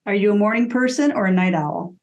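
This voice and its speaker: Female interview co-host, medium pitch, casual conversational questioning. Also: fairly quick, a little mellow, dull audio.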